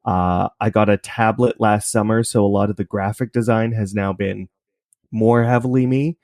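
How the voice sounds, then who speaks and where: A man's voice: lowish voice, fairly even intonation, normal speed, a little mellow, no echo, studio quality. A man talking casually as a podcast guest, explaining things in a rambling, self-correcting way. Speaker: podcast creator, interview guest. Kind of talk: casual interview chat.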